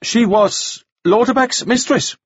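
High jittery dandy guy, a man doing the voice, stammering and pleading, husky. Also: takes it slowly, no echo, heavily acted. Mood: anxious. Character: nervous, flustered dandy man. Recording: dull lo-fi recording.

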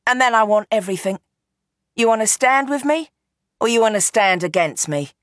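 A woman's voice: a little high, animated, moderate pace, a bit bright, no room sound, clean studio sound, pitched above the performer's own voice. A woman voicing a hard, commanding young woman taking over a crime family, fierce and defiant.

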